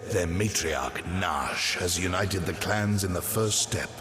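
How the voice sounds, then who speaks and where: An ancient, colossal tree guardian from a fantasy game. The voice is extremely low and unhurried, grave and cryptic, with stately phrasing. It rings out in a long reverb. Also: male voice, a bit bright, soft background music. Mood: solemn, watchful. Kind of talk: scripted fantasy game dialogue.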